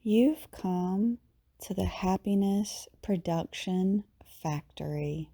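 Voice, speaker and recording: A woman leading a guided meditation in a soothing, unhurried way, with long pauses and phrases that tend to trail off softly. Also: mid-range voice. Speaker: yoga teacher, meditation guide.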